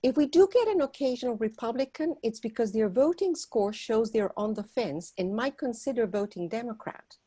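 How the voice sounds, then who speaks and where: Husky, persuasive woman from Argentina. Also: a little high, expressive, dark tone. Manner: earnest, persuasive, with frequent filler pauses. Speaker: volunteer organizer. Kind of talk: prepared talk at a meeting.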